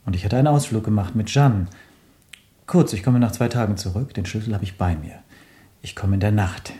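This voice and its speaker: A smug, worldly dandy in a radio drama. The voice is lowish, husky and dry-recorded, with a suave, self-assured, insinuating delivery. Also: male voice, fairly quick, warm tone, no room sound. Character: well-off male dandy cousin, worldly, pushy, smug. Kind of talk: radio play dialogue.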